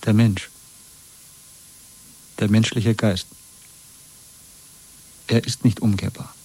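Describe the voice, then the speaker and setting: Dark, husky, defiant prisoner. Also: male voice, on the low side, little pitch movement, takes it slowly, low-quality recording. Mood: quiet conviction. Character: broken but stubbornly defiant male prisoner. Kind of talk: German radio drama acting.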